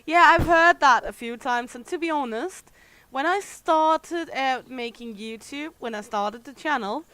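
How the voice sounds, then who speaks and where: A woman's voice: high-pitched, a little slow, sharp tone, smooth, no echo, rough recording. Danish woman chatting on a stream. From Denmark. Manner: chatty, candid, reads and answers chat aloud. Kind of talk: casual livestream chat while gaming.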